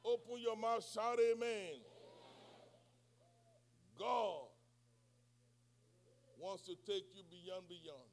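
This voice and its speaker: A male pastor preaching a sermon, speaking English as a second language, who swings between soft, quiet passages and shouted, call-and-response preaching. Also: high voice, very slow, long pauses. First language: not English.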